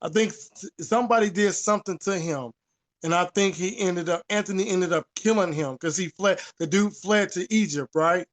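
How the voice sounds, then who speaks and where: Guy w a pretty high voice just chatting history, repeats himself to make a point, swears a bit. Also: normal speed. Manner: repeats phrases, emphatic, informal with swearing. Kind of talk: casual history discussion.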